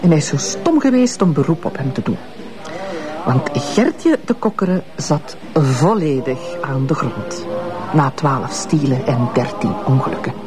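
A woman's voice: low voice, lively intonation, a little slow, over loud music. An actress with a Flemish accent playing a bitter, sardonic ex-wife in her fifties in a radio drama, speaking in a mocking, insinuating way. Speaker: witness, ex-wife.

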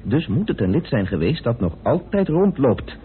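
Actor as an earnest, troubled amateur sleuth, tinny sound. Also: male voice, mid-range voice, very animated, normal speed, natural voice. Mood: grave, earnest. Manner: earnest, brooding. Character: troubled, stubborn male amateur detective and committee man. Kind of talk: old Dutch radio drama acting. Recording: noisy muffled tinny old radio recording.

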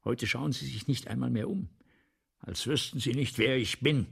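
An actor playing a vain, aggrieved aging French playwright in a radio play, husky and gravelly, with a brooding, self-important delivery. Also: male voice, medium pitch, moderate pace, a bit bright, exaggerated voice. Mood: wounded, brooding. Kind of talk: 1970s German radio play acting.

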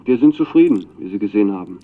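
A man's voice: fairly low pitch, lively intonation, fast talker. An actor playing an earnest, naive young man speaking a ceremonious recorded message in a radio drama, through a narrow tape filter. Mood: earnest, eager. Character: earnest young Chilean man, devoted admirer of a poet.

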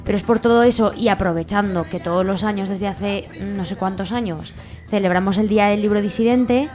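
A woman's voice: mid-range voice, a little fast, darkish tone, soft background music. Spanish woman, radio guest, mid-range voice, colloquial and emphatic delivery. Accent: Spanish from Spain. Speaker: radio guest from a community library collective. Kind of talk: casual spoken radio interview.

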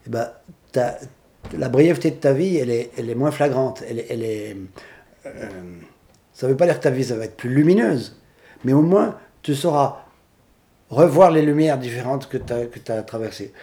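Blunt, rambling older man, dark voice. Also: mid-range voice, expressive. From Switzerland. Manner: rambling, halting, blunt and gruff. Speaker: singer-songwriter guest. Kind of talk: casual radio interview.

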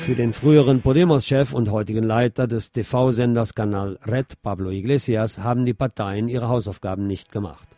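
A man's voice: mid-range voice, fairly even intonation, moderate pace, hardly any pauses, mellow, faint music behind. A man reading scripted news as a radio presenter.

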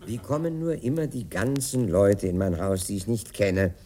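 An older man telling a theatrical anecdote, acting out the dialogue with dramatic pauses. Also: lowish voice, warm tone, slight background noise.

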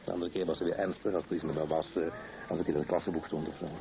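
A man's voice: sits low, flat delivery, racing, creaky, phone-quality sound, crowd noise. An actor playing a young husband and expectant father in a radio play, affectionate and eager, talking in an intimate, conversational way. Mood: nostalgic. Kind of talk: naturalistic radio play dialogue. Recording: thin old radio recording, music behind.

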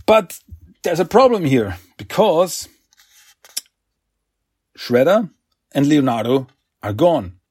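A man's voice: on the high side, big pitch swings, slow, pauses often, darkish tone, slightly rough, no echo, studio quality. A man with a slight French accent hosting a casual comic recap podcast, rambling with fillers and restarts and acting out quotes. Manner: chatty, many fillers and restarts, acts out quotes. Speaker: podcast host.

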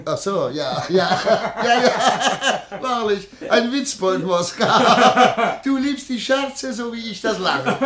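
An actor playing a greedy, jealous, hot-tempered merchant in a German radio play, theatrical and sly. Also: male voice, high voice, animated, slow, crowd noise. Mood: scheming, gloating.

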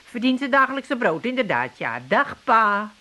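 An actress playing a busy, unimpressed housewife in a radio comedy, dry, clipped and dismissive. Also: female voice, on the high side, big pitch swings, normal speed, bright, forceful tone. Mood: curt. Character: curt, unimpressed working daughter-in-law or daughter, busy housewife. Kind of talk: 1980s comedy radio drama acting. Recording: hissy radio drama recording.

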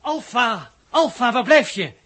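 An actor playing a dutiful ground-control radio operator in 1960s Dutch radio drama, with a Netherlands accent. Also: male voice, very high voice, lively intonation, measured pace, fairly bright tone, breathy edge, full character voice. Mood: insistent. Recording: very noisy old radio recording.

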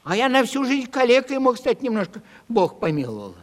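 A cheeky, plaintive vagabond comic in a 1970s stage play for audio. His voice sits high and jumps about a great deal as he spins out his words. The recording has a long, hall-like reverb. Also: brisk. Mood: agitated storytelling. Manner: animated, plaintive storytelling.

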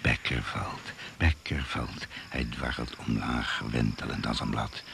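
Male radio play narrator: extremely low, creaky, suspenseful and deliberate, noisy recording with animal sounds. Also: fairly even intonation. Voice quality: gravelly.

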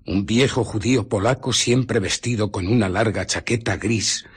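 An actor with a Castilian Spanish accent playing an obsessed, irritable scientist in a radio drama, brooding and intense. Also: male voice, fairly low pitch, moderate pace. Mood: tense recollection. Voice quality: husky. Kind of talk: radio drama acting, first-person narration.

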